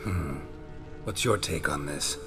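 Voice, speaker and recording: An extremely deep, gravelly monster hunter, world-weary and sardonic. He speaks in terse, deadpan lines, as in a fantasy video game, with music clearly playing behind him. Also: takes it slowly, full character voice. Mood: dry, terse. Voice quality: gravelly, low. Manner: laconic, dry, deadpan. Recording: game dialogue over clear background music.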